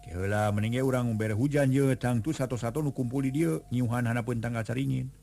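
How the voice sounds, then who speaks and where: A man's voice: mid-range voice, fairly even intonation, fast, vocal fry, dark tone, close to an everyday voice. A man voicing an eager brother who can call down rain and wants to show his magic.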